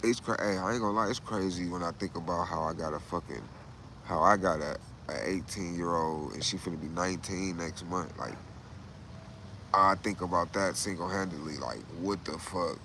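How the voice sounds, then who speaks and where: Low-voiced profane man chatting on a stream. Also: normal speed, sharp tone, slight background noise, rough recording. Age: 30s. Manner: loose, profane, repeats himself, says "bro" often. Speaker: livestream host. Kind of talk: casual livestream chat.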